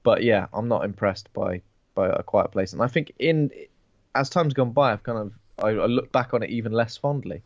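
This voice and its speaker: A man's voice: fairly low pitch, normal speed, dry recording. A sniffly man co-hosts a horror podcast in a jokey, easygoing way, laughing often and doubling up his words. His mic sounds a little dull and very dry, with no room around it. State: sniffly with a cold. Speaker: podcast co-host. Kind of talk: casual film podcast chat.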